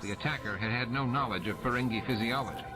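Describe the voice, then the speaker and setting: A man's voice: mid-range voice, fast, low-quality recording. A man reading a science fiction novel as scripted audiobook narration. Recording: music and effects under the voice. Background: music and sound effects.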